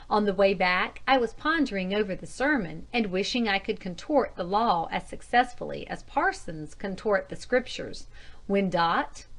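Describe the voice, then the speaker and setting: A woman reading scripted narration, telling a ghost story written as a diary. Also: mid-range voice. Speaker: audiobook narrator.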